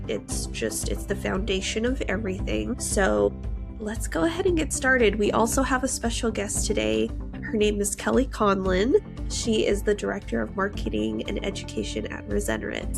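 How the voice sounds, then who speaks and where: Congested woman hosting a podcast, gravelly. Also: medium pitch. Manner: explanatory, steady. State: congested, stuffy nose. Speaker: podcast host. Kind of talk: scripted podcast monologue.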